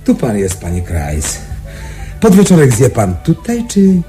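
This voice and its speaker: An actor playing a polite, soothing asylum orderly in a radio play. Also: male voice, medium pitch, wildly swooping pitch, unhurried, warm tone, faint music behind. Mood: courteous. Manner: polite, placating, service-like. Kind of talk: Polish radio play acting.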